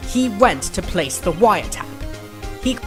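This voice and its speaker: A man's voice: high voice, big pitch swings. Man acting a haughty, formal prosecutor over music. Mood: confident, arguing. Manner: formal, deliberate, condescending. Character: composed, haughty male prosecutor, condescending and exacting. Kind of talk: hobby fandub of a courtroom game.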